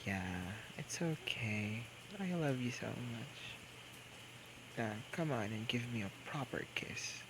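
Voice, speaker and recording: A man playing a drunk, clingy, playful young boyfriend in an intimate ASMR roleplay, with slurred, coaxing, halting speech. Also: medium pitch, expressive. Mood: tender, sly. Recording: noisy homemade microphone recording.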